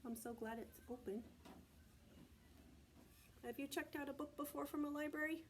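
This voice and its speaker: A woman preschool teacher reading aloud to young children in a deliberate, child-directed way, often asking prompting questions. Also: high voice, slight background noise. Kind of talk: read-aloud and sing-song circle time for young children.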